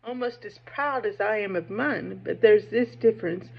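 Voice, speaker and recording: A woman's voice: medium pitch, normal speed, vocal fry, natural voice. A woman reading aloud as a cruel, gloating man from a gothic novel. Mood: smug gloating. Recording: dull, muffled lo-fi recording.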